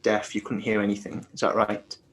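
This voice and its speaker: A man's voice: on the low side, completely flat delivery, a little fast, slight background noise, poor audio. A man hosting an interview, reassuring his guest and rephrasing questions with many restarts. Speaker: interview host. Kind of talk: casual interview.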